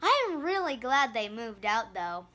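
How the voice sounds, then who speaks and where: High, lively woman reading a storybook. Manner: expressive, emphatic, drawn-out delivery for children. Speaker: storybook reader. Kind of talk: children's picture book read-aloud.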